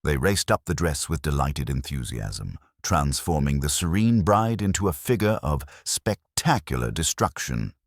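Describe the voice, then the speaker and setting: A man narrating a scripted mystery story in a measured, literary way with dry irony. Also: very deep voice, very animated, no echo. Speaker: audiobook narrator.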